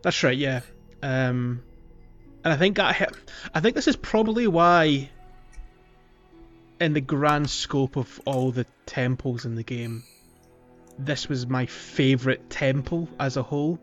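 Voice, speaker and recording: A man's voice: medium pitch, a little slow, a bit bright. A man hosting a podcast, casually discussing a video game in a hesitant, rambling way as he thinks aloud. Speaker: podcast host. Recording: slightly dull audio with music underneath. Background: background music.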